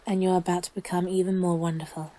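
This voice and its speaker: A woman playing a flustered, lovestruck girlfriend who is sick in bed, gushing and halting in an intimate audio roleplay. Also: on the low side, flat delivery, mellow, close to an everyday voice. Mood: giddy, smitten. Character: lovestruck, grumpy-but-soft woman sick in bed, newly smitten.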